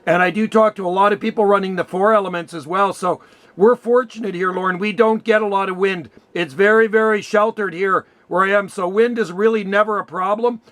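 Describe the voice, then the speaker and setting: A man's voice: high-pitched, steady tone, brisk, a bit bright, no echo. A man talking casually and colloquially as an amateur radio operator, often affirming what he has heard. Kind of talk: casual radio contact chat.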